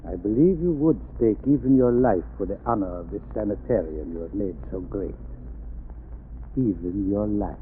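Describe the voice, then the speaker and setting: A man playing a quietly menacing official. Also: on the low side, moderate pace, close to an everyday voice. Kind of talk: 1930s radio drama acting. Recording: tinny old radio broadcast.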